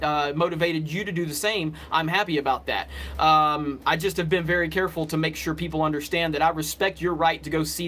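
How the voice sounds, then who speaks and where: A man's voice: on the high side, a bit bright. A man from Alabama with a slight Southern US accent, hosting a casual livestream and chatting off the cuff with commenters in a rambling way. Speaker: livestream host. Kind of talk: casual live chat with commenters.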